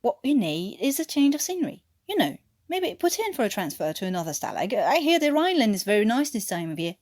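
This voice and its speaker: A woman's voice: a little high, big pitch swings, fast, a little mellow. A woman voicing a cheeky, reasoning male British airman in an attempted English accent, blunt, chummy and sardonic, for an audio story. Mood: deadpan needling.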